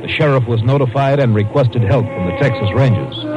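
A man's voice: medium pitch, brisk, close to an everyday voice. A man narrating a 1950s radio crime docudrama in a terse, grave, matter-of-fact way. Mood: grave, factual. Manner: terse, solemn, factual. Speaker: story narrator. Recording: muffled old radio, loud music behind.

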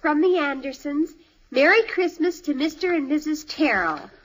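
An actress playing an eager, tender-hearted little girl in an old radio drama, earnest and excitable. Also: sits high, moderate pace, low-quality recording. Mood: proud. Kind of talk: 1950s radio drama acting. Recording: old radio broadcast recording.

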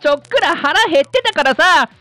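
A woman playing a rough-talking, cheeky schoolboy son in a radio drama, slangy and grumbling. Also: high voice, animated, brisk, bright, forceful tone, no echo. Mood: defensive, cheeky.

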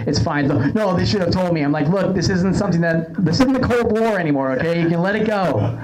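A woman's voice: fairly low pitch, expressive, fast. A woman hosting a casual comedy podcast, telling anecdotes in a wry, sarcastic way. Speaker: podcast host. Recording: clearly noisy background.

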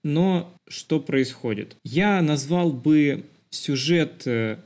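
A man's voice: mid-range voice, darkish tone. Man talking through a film discussion expressively. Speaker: podcast co-host.

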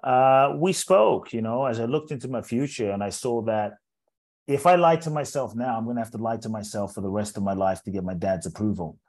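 A man in his forties who grew up in England, a speaker telling his life story as an interview guest in an earnest, measured way, often repeating words as he builds a thought. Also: fairly low pitch, a little mellow. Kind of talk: reflective personal storytelling in an interview.

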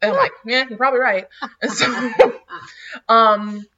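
Young woman in her 20s, bright voice, lots of like and laughing, kinda muffled audio. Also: on the high side, fairly even intonation, unhurried. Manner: chatty, lots of "like" and laughter, blunt. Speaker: podcast co-host. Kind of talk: casual chat.

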